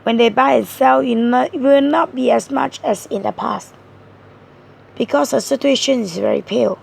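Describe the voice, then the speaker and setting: A hoarse woman talks through a tarot spread, explaining each card earnestly and point by point. Her voice sits high, with a heavy gravelly fry running through it, and a husky, breathy edge. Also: normal speed, darkish tone. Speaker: tarot reader. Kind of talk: tarot card reading monologue.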